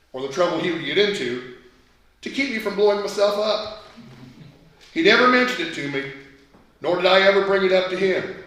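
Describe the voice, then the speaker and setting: A man's voice: on the high side, a bit bright. A middle-aged man reading a scripted memoir story aloud as its author. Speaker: author reading own work. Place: indoor event room at a book launch. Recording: very echoey room recording.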